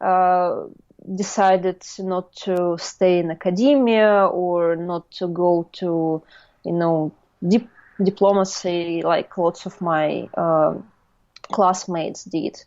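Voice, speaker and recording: Slow, gravelly Russian-accented woman. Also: fairly low pitch, steady tone, no room sound, lo-fi sound. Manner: hesitant, many fillers, soft laughs. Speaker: interview guest, artist. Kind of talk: casual interview chat.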